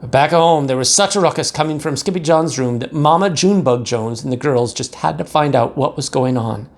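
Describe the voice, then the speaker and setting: A man reading a picture book to young children with theatrical, drawn-out emphasis on key words. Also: fairly high pitch. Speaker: pastor reading a picture book to second graders. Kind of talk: animated children's storybook read-aloud.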